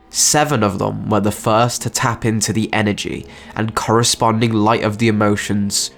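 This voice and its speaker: A man's voice: fairly low pitch, gravelly. A man reading scripted, dramatic narration for a story.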